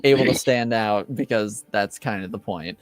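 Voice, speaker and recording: A man's voice: lowish voice, normal speed, no room sound. A man improvising casual game narration as game master in a loose, conversational way.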